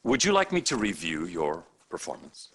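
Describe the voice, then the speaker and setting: An actor playing a sarcastic, condescending hospital supervisor in a video game cutscene, with theatrical, deliberate pauses. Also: male voice, deep voice, huge pitch swings, fairly bright tone, husky, heavily acted. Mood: scathing sarcasm. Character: sarcastic, condescending male hospital boss berating a doctor.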